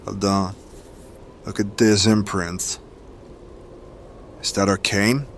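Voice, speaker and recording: An actor playing a steady, curious leader of hirelings in an audio drama. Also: male voice, fairly low pitch, slow pace, a little hiss or hum.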